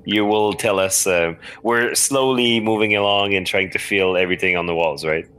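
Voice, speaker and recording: A man's voice: fairly low pitch, normal speed, sharp tone, clear, resonant tone, poor audio. A man chatting casually as a game player, thinking aloud and tentatively suggesting his next moves. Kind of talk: casual chat, talking through game moves.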